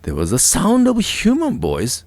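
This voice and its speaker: A man narrating a scripted folk tale with a deliberate storytelling delivery. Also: on the high side, wildly swooping pitch, noisy background.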